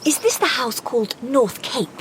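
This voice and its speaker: An actress playing a composed, shrewd Victorian lady detective in a radio drama, polite and quietly ironic. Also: high-pitched, lively intonation, normal speed, noisy background.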